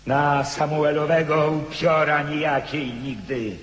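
A man's voice: a little high, fairly even intonation, fairly bright tone. An actor playing the proud, defiant ghost of a rebel nobleman in a declamatory radio drama style. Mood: defiant, scornful. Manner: declamatory, slow, impassioned. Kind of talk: theatrical radio drama acting. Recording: echoey hall with long reverb.

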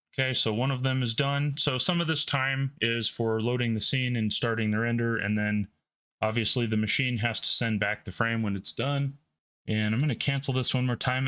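A man hosting a tech channel, talking through a screen-recorded software demo in a relaxed way, thinking aloud with frequent ums, from his home workstation. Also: fairly low pitch, clean tone.

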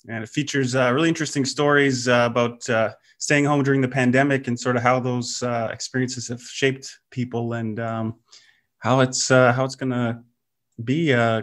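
A man's voice: mid-range voice, a bit bright. Easygoing Canadian podcast host, man. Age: 30s. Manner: easygoing, halting questions full of uh and um. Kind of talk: casual interview hosting. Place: home room, over a video call.

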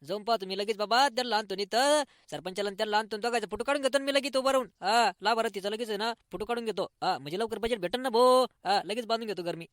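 An eager, hurried cartoon villager played by a man with a rural Maharashtrian accent. He talks fast and urgently in a very high, bright voice, recorded close and dry. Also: no echo. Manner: urgent, colloquial. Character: eager, hurried poor villager awaiting his housing grant. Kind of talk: comic cartoon dialogue.

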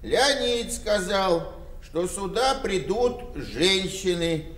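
An older man acting a meek, plain-spoken, slightly muddled old village father in a Soviet radio play, with halting delivery. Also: sits high, lively intonation, a bit bright, heavily acted. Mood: dutiful, literal. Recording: roomy, distant, faintly noisy radio-play recording.

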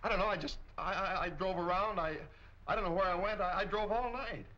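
An actor playing an agitated, stammering young murder suspect in a 1940s melodrama film. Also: male voice, high voice, brisk, sharp tone. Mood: distraught. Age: young adult. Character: rattled, defensive young male murder suspect. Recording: muffled, hissy 1940 film soundtrack.

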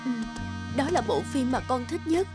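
A high female voice over loud music: a woman acting a devoted mother heavy with grief for her sick child. She speaks tenderly and pleadingly, as in a sentimental cartoon. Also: lively intonation, normal speed. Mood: tender sorrow. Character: loving, grieving mother of a dying boy. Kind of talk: cartoon drama dub. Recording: loud music bed behind the voice.

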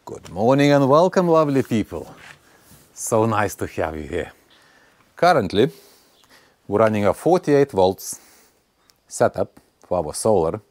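A man's voice: lowish voice, big pitch swings, unhurried, pauses often, a little mellow. A man in his forties showing and testing a battery in a friendly, casual way, talking to viewers outdoors on a lapel mic. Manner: friendly, addresses viewers as guys, non-native phrasing. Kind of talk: scripted voice-over intro, then casual on-camera chat. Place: outdoors on a stone barn patio. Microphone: clip-on lapel mic.

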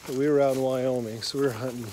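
A man's voice: medium pitch, fast. Chatty man walking in summer woods. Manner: relaxed, chatty, joking with his son. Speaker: vlogger and father. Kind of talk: casual outdoor vlog chat. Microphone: handheld phone at arm's length. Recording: outdoor insect noise behind. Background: crickets and insects.

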